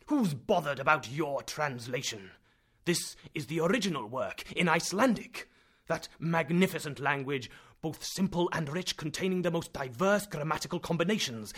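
A man voicing an excitable, impatient fifty-year-old German professor who scolds and lectures loudly. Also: mid-range voice, breathy edge.

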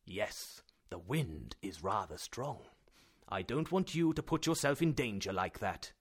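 A man voicing an affectionate older professor uncle in a storybook, with emphatic, deliberate, drawn-out phrasing. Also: fairly low pitch, lively intonation, breathy edge, pitched below the performer's own voice. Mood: firm, cautioning.

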